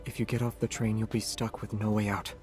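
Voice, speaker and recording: A man playing a calm young monster hunter in a video game, teasing in an understated, deadpan way. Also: lowish voice, brisk, faint music behind, natural voice. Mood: calm, serious.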